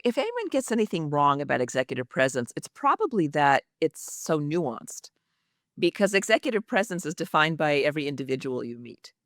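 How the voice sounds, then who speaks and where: A woman's voice: sits low, no room sound, clean studio sound. A woman who is an executive communication coach speaks expressively and emphatically in a podcast interview, with the practiced delivery of a trained actress.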